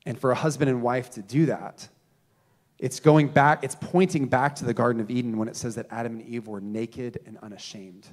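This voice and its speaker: A man's voice: mid-range voice, fairly even intonation. Earnest man preaching into handheld mic. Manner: earnest, swings from emphatic to hushed and slow. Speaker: pastor. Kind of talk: sermon. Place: church stage, indoors.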